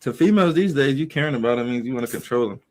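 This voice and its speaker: A man's voice: medium pitch, lively intonation, rapid-fire. Stammering man, slangy casual talk. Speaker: guest. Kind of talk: casual chat.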